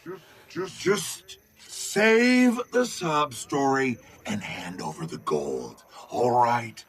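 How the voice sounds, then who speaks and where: An actor playing a desperate, hard-drinking gambler and robber in a video game, rough, pleading and blustering. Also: male voice, medium pitch, sing-song, slow, a bit bright, slight background noise, exaggerated voice. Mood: menacing.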